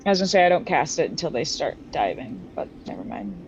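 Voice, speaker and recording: A woman with a slightly low voice talks casually while playing a tabletop game. The sound is a bit dull, with clear noise behind her. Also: very animated, fast talker. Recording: dull, noisy home recording.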